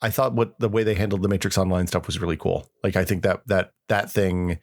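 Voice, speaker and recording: A man's voice: low voice, steady tone, brisk, no echo, studio quality. A middle-aged American man chatting casually as a podcast co-host, using informal, slangy phrasing. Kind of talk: casual tech nostalgia chat.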